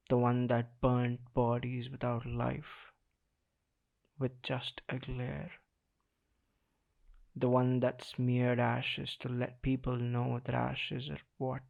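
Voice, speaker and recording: A man performing a slam poem in the first person, dramatic and deliberate, with weighty pauses between short phrases. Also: mid-range voice, vocal fry, phone-quality sound.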